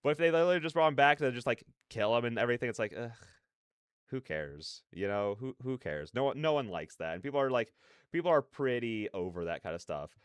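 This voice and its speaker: A man's voice: mid-range voice, expressive, brisk, clean tone, no room sound. A man hosting a livestream, chatting casually and animatedly in slangy, rambling opinions. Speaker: livestreamer, reaction host. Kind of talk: casual livestream chat with viewers.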